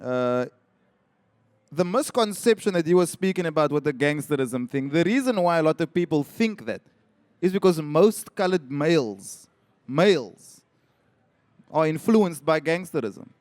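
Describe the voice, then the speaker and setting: A man from South Africa speaks as a guest on a live panel, his voice sitting high for a man. He tells a story with wry, animated emphasis, pushing up in pitch when he lands a point. The sound is dry and close, with no room around it. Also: unhurried, no room sound.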